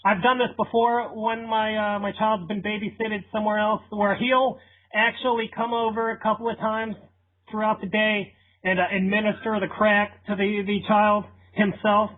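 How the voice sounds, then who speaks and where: A thin, phone-like recording carries a man acting as a casual, negligent parent in a prank call. The voice sits quite high. The delivery is deadpan, hesitant and rambling, with an easygoing, persuasive salesman's patter. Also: moderate pace, natural voice. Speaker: prank caller. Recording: thin phone-line radio-like sound.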